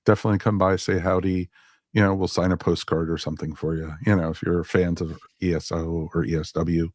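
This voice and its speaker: A man's voice: deep voice, level tone, brisk, a little mellow, no echo, clean studio sound. A man co-hosting a casual fan podcast, chatting in a wry, mildly sarcastic way. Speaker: podcast co-host.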